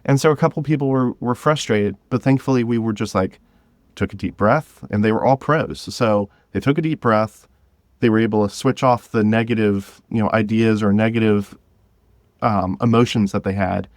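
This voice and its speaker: A man talking casually and enthusiastically as an interview guest, in an informal, halting way. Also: fairly low pitch, no room sound. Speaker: podcast guest, drama creator.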